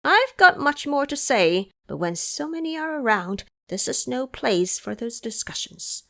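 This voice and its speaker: A woman's voice: sits high, very animated, measured pace, gravelly, sharp tone, pitched above the performer's own voice, heavily acted. A woman voicing a cocky magical monkey disciple with teasing, dramatic emphasis in an audio drama. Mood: secretive.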